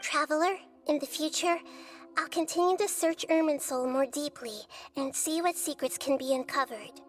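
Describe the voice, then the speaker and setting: An actress voicing a gentle, childlike, wise little female deity in a video game, speaking in a measured, thoughtful way. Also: high voice, close to an everyday voice. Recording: background music under voice.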